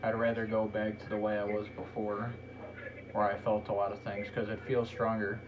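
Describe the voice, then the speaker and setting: A man talking in a flat, monotone way in a casual podcast chat. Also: fairly low pitch, normal speed, background noise. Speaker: podcast host.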